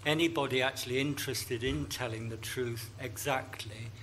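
A man, a writer on a panel, answering carefully and deliberately, slowing down to stress key phrases. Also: medium pitch, fairly even intonation, a bit bright, a little hiss or hum, poor audio. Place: festival stage before a live audience.

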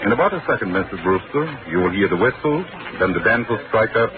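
A man's voice: on the low side, expressive, quick pace, close to an everyday voice. A man playing a courtly Austrian ship's doctor. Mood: courteous. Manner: polite, formal, gently joking. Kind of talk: 1940s radio acting. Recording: noisy, tinny old radio broadcast.

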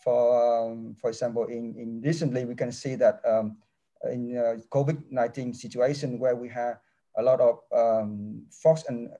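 Halting, mellow-voiced professor on a video call. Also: male voice, mid-range voice, level tone, dull audio. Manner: halting non-native English with many restarts. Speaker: engineering professor. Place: office with large window. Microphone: laptop webcam mic on a video call.

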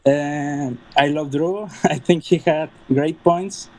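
A man's voice: fairly high pitch, slow pace, dark tone. A man with a Mexican accent calling in to a radio show, giggling shyly as he talks. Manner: giggly, shy. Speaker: caller.

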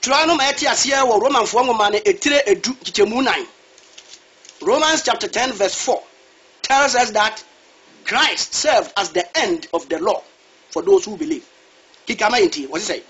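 Male preacher, very high and lively delivery, dull lo-fi recording. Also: normal speed, slightly rough. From Ghana. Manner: switches between Twi and English, asks a reader to read verses. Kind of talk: sermon.

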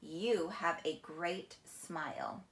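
A middle-aged woman reading a children's picture book aloud in a deliberate, expressive teacher's manner. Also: low-pitched. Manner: slow, deliberate, expressive storytelling. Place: small indoor room, seated on couch. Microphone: camera a few feet away. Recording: echoey room.

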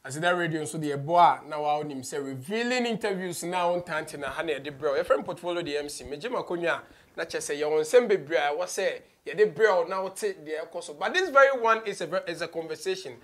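Male Ghanaian interviewer, thirties, slightly high pitch, animated delivery, code-switching conversational style. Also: a little fast. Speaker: show host. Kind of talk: conversational radio interview, mixing English and Twi. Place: small office studio, indoors.

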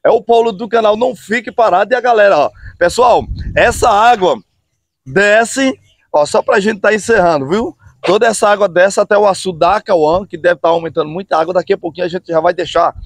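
A man, hosting a casual outdoor vlog from a bridge and filming himself on a phone at arm's length, talking in an upbeat way with drawn-out phrases. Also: sits high, no room sound. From Brazil. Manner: upbeat, drawn-out phrases, frequent "hein, pessoal?" tags. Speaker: channel host. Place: outdoors on a road bridge over a river. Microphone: phone camera at arm's length.

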